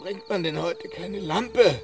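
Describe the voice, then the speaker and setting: An actor playing a bitter, frail man dying of consumption in a radio drama, breathless and halting, repeating his words. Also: high-pitched, over music. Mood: weary. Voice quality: gravelly, breathless.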